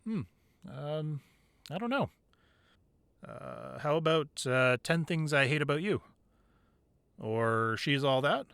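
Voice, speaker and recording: Expressive man, acting a crime-boss boyfriend, close and dry. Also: on the high side, takes it slowly, long pauses, clear, resonant tone, no echo, heavily acted. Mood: playful, amused. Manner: conversational, playful with partner, curt and commanding in business. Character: affectionate boyfriend, secretly a hardened crime boss. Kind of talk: intimate ASMR roleplay acting.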